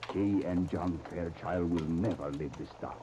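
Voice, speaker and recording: A man's voice: deep voice, a little slow, lo-fi sound. An actor playing a grave, deferential male conspirator in a 1930s radio soap opera, speaking formally and courteously. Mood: grave, assured. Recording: hissy, noisy 1930s radio recording.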